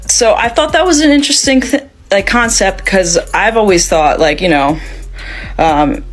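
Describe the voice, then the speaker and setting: A woman with a deep alto voice, low for a woman, speaks casually and frankly to the camera. She hesitates often and fills the gaps with fillers, with faint music behind her. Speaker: social media poster. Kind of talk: casual direct-to-camera talk.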